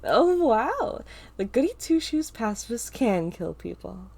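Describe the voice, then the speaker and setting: A woman voicing a cold, power-hungry female villain who speaks in a sneering, flat tone. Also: fairly high pitch, normal speed, pitched above the performer's own voice.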